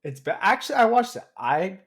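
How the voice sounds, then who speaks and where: A man's voice: high-pitched, very animated, a little fast. A young man co-hosting a podcast, chatting casually in a rambling, hesitant way full of hedges. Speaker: podcast co-host. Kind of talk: casual chat.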